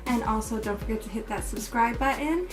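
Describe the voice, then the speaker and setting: A woman with a slightly raised pitch and a little hoarseness talks casually through a makeup look, step by step and full of fillers. She sits back from the microphone, and clear music plays behind her. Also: fairly quick. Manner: relaxed, step-by-step, with many fillers. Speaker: beauty vlogger. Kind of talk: casual makeup tutorial and review. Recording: distant mic with music playing behind.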